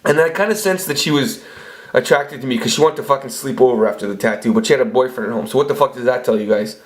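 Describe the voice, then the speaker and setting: A man's voice: medium pitch, quick pace. A man in his forties telling crude, rambling stories in a casual monologue, swearing often and restarting his sentences. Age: 40s. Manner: crude, profane, rambling storytelling with frequent restarts. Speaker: vlogger.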